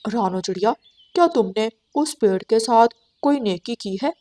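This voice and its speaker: A woman's voice: on the high side, expressive, moderate pace, mellow. Woman as a grave, knowing cartoon bird. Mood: curious. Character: grave, superstitious bird gossiping at the shop.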